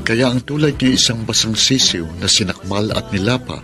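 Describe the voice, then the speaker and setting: Actor as a low-voiced, lovelorn male narrator. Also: moderate pace, music in the background, close to an everyday voice. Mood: sorrowful, tender. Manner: earnest, poetic. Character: tender, melancholy man secretly in love, narrating by letter. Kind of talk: dramatic letter narration over music.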